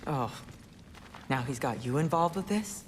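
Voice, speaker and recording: A woman's voice: deep voice, animated, a little slow, slight background noise. An actress playing a proud, guarded queen and protective mother who speaks in a cool, clipped, sarcastic way.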